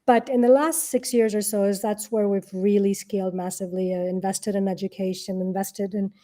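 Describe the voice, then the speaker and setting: Middle aged woman, soft-edged mellow voice, talking casually like a guest on a show. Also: mid-range voice, little pitch movement, a little fast. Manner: conversational, illustrates points with imagined quotes. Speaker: interview guest, tech product leader and investor. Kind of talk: casual interview answers.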